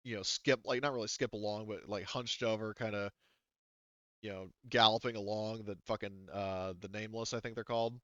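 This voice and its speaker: A man's voice: on the low side, fairly even intonation, a little fast, fairly bright tone, no echo, dull audio. A man casually improvising narration as game master of a tabletop game, rambling and joking as he goes.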